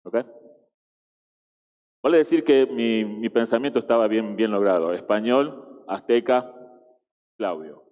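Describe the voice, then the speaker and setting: Man, Argentine accent, guest preacher, conversational sermon, halting restarts, lowish voice, long reverb. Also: darkish tone, highs cut off. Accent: Argentine Spanish. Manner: chatty, halting restarts, frequent tag questions.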